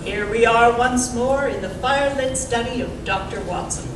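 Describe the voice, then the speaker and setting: Woman playing a high-voiced vintage radio hostess. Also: normal speed, loud music behind the voice, natural voice. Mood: cozy, expectant. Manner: cozy, scene-setting, salesy. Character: folksy female radio show hostess and announcer. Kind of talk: 1930s radio announcing. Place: museum live performance space. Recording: echoey room, distant mic, clear noise.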